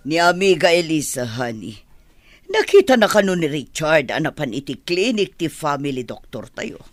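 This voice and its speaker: A man playing a fretful middle-aged mother, protective and animated, in a radio comedy drama. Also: fairly high pitch, normal speed, dry recording, soft background music. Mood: exasperated.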